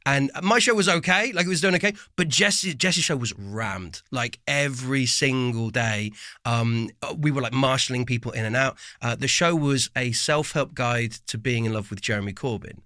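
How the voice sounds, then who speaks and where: A man's voice: medium pitch, normal speed, bright, forceful tone, no room sound, studio quality. A man co-hosting a podcast, asking loose, rambling questions full of fillers. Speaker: podcast co-host. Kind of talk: casual podcast conversation. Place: recording studio.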